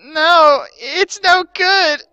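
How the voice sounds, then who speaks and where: Apologetic ghost mistress for a story reading: bright, high, whiny and distressed. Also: female voice, very slow, no echo, dull audio, heavily acted.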